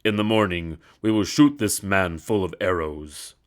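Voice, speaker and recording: A man voicing an imperious, wrathful emperor from a storybook, with a commanding, booming delivery. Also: normal speed, close to an everyday voice. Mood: furious command.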